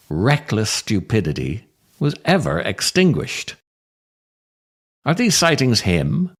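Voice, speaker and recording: A man with a low voice delivers a scripted comic monologue. His delivery is theatrical and wry, swinging widely in pitch and taking its time with deliberate pauses. Faint music plays underneath. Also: gravelly. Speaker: podcast host, writer and actor reading his own work.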